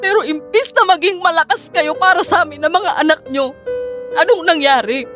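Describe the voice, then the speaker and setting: A woman's voice: extremely high pitch, normal speed. A woman acting a hardworking, weary student daughter in a Filipino radio drama, earnest and emotional, pleading with a Filipino accent. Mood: tearful, defiant. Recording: music bed under the voice.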